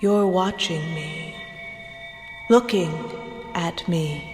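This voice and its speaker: An actress playing a vengeful, grieving female ghost in a theatrical audio drama, slow, seductive and menacing. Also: lowish voice. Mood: menacing, taunting. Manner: slow, dramatic, taunting to pleading. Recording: echoey hall-like reverb over music.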